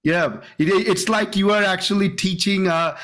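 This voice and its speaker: Middle-aged guy from canada, voice a bit high, excited and chatty, introducing himself on a video call. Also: normal speed. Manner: enthusiastic, chatty. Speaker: course student. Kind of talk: informal self-introduction on a group video call.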